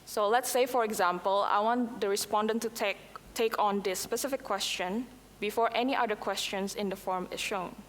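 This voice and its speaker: A woman's voice: mid-range voice, steady tone, normal speed. Indonesian woman presenting in a hall. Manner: reads prepared lines, occasional slips and restarts. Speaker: conference presenter. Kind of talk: scripted product demo talk. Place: conference hall. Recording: long hall reverb, faint ambience.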